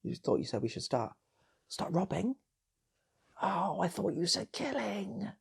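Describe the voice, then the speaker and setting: A bungling robber in a comic tale, acted out by a man. The voice leaps very high and swoops about, breathy and whiny with exaggerated dismay. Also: big pitch swings, unhurried, heavily acted. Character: dismayed robber confronting a mistaken, violent accomplice.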